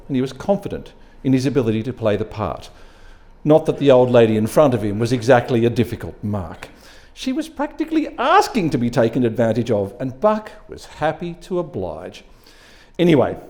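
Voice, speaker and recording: A man reading a short story aloud as an expressive narrator at a live event. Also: mid-range voice. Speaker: story reader.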